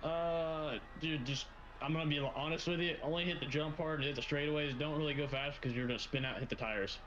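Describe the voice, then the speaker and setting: A man's voice: on the high side, steady tone, brisk, fairly bright tone, dull audio, slight background noise. A man bantering casually in slang as he improvises a gaming roleplay with another player. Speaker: gaming co-player role-playing a rival driver.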